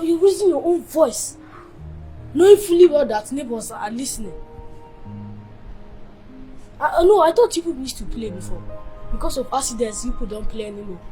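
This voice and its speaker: A woman acts out a grown child who scolds her mother in a high, mellow voice. The tone is reproachful and preachy. Soft music plays behind. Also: rough recording.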